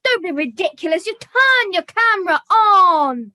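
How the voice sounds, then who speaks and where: Girl doing a bossy puppet, super high bright voice, stern and scolding, drags words out. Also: sing-song, a little slow, no room sound, clean studio sound, heavily acted. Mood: exasperated. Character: bossy, exasperated puppet organising a meeting. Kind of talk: comedy puppet show acting. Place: video call.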